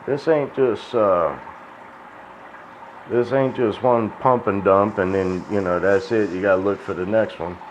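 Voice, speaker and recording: Man, low voice, folksy and profane, rambling, dull lo-fi recording with faint hiss. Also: fairly even intonation, unhurried. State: tired, dry mouth. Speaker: crypto video host. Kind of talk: casual rambling monologue.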